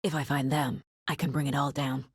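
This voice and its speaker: An actress playing a determined woman detective in a video game, speaking in a serious, focused, terse way with a soft, husky voice. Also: a bass voice, level tone, fairly quick, no echo, clean studio sound. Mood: focused, resolute. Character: determined woman detective investigating supernatural cult murders. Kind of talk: video game character lines, investigative inner monologue.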